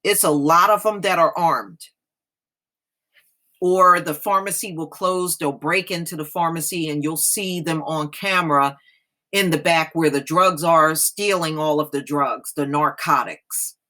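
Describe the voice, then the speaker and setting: Slow-talking American woman reading aloud. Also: low-pitched, bright, forceful tone. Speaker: host reading and commenting on an article. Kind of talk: read-aloud article with casual commentary.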